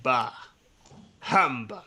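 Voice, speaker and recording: A man's voice: medium pitch, animated, very slow, a crowd in the background. A man acting in a radio play as a miserly, cold-hearted old businessman, curt, sarcastic and disdainful. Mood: grumbling, sarcastic.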